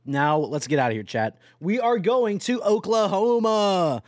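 Young male streamer, high and lively, casual and profane, talking to chat over a truck game, dry close mic. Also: unhurried, dry recording. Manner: excitable, swears often, yelps and laughs; addresses chat directly. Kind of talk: casual live-stream chatter while playing a truck driving game.

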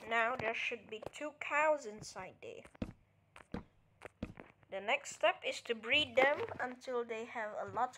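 A woman's voice: fairly high pitch, very noisy. A woman giving a casual step-by-step game tutorial, counting items aloud and checking in with "alright?" as she goes. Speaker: tutorial creator.

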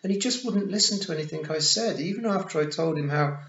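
A man's voice: fairly high pitch, fast, a bit bright, pitched above the performer's own voice. A man voicing an embarrassed, well-born boy in an audiobook, hesitant and stammering as he blurts things out. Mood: flustered. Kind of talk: audiobook character voice. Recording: muffled, roomy recording.

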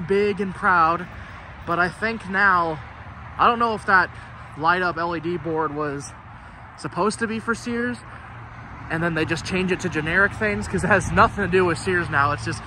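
A young man giving casual, rambling walk-through commentary as an urban explorer vlogger. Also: high voice. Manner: chatty, rambling asides. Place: outdoors by an empty store building. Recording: outdoor noise on a handheld mic.

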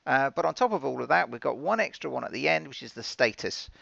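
Male software presenter, very creaky yet bright voice, informal and explanatory, dull lo-fi recording. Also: mid-range voice, expressive, fast, no echo. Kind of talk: conversational software demo narration.

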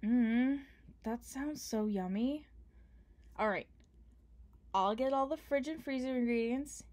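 A woman's voice: on the high side, slow, darkish tone. A young woman doing an ASMR roleplay as a partner, playful, affectionate and teasing. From Canada. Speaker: ASMR performer.

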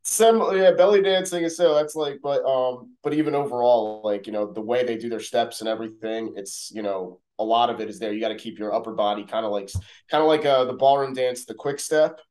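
A man's voice: medium pitch, fairly quick, a little mellow, poor audio. A young man co-hosting a reality TV review show from home on a video call, rambling with many fillers. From Middle East. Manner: rambling, lots of "like, you know" fillers. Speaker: co-host of a reality TV review podcast. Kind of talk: casual chat. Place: home room.

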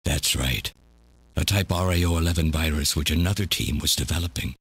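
An actor playing an elderly, obsessed scientist villain in a video game, delivering a bitter, deliberate, theatrical confession. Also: male voice, a bass voice, fairly bright tone. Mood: cold, matter-of-fact. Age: older. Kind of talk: dramatic video game voice acting, monologue. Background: game music.

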